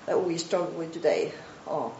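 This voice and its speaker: A woman moderator introduces a conference speaker, pausing on fillers as she goes. She sounds far from the mic in a reverberant room, with a dull, noisy recording. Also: low voice, animated, mellow. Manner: hesitant, uh and um fillers.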